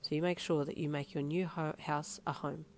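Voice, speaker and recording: A woman voicing a gruff but loving middle-aged father in an audio story. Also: deep voice, steady tone, moderate pace. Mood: gentle. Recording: faint music behind.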